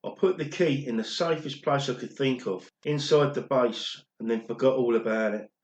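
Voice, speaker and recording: A man's voice: mid-range voice, moderate pace, a little mellow, highs cut off, lightly acted. A man playing an older, regretful former pop drummer who confesses an old secret in an amateur radio play. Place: recorded alone at home, indoors. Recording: roomy home recording.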